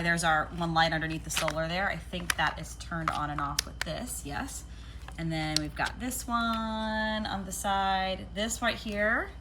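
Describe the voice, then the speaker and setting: A woman's voice: lowish voice, background noise. A woman giving a casual, chatty product demonstration, rambling a little as she thinks aloud. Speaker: product reviewer.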